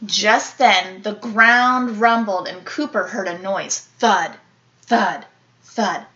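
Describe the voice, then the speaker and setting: A woman reading a children's picture book aloud like an elementary teacher, animated, with dramatic emphasis and playful sound-effect words. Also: medium pitch, slow pace, dull audio, a little hiss or hum.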